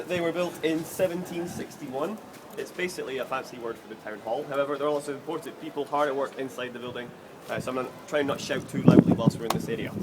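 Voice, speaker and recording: A young man with a soft Scottish accent, leading a walking tour outdoors in a chatty, joking way. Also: fairly high pitch. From Scotland. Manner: jokey, chatty, self-deprecating. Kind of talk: outdoor tour guide patter. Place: outdoors on a city street. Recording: street noise behind.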